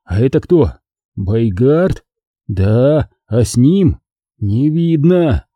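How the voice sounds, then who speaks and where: A young knight's groggy, embarrassed voice , acted by a male narrator. He talks in short, bewildered bursts, with a soft dark tone and a storybook feel. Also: mid-range voice, animated, extremely slow, no echo, highs cut off. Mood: groggy, ashamed. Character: embarrassed, groggy eighteen-year-old knight.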